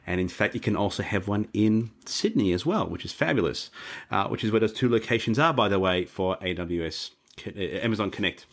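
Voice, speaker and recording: A man's voice: fairly low pitch, animated, brisk. A man co-hosting a casual tech podcast, talking with an eager, promotional enthusiasm. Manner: enthusiastic, promotional, repeats "which is fabulous". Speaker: co-host.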